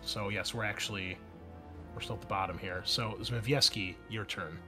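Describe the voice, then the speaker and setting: A man acting as game master, talking through rules and narrating casually, often halting as he thinks aloud. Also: fairly low pitch, steady tone. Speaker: game master of an online tabletop game. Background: music.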